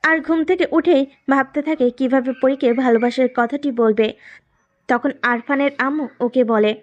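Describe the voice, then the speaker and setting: A woman's voice: sits high, normal speed, muffled recording. A woman reading scripted narration for a romantic story as its storyteller.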